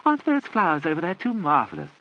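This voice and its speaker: A man reading an audiobook, voicing an affected young aristocratic daughter who speaks in a high, arch way. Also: wildly swooping pitch, fast, muffled recording.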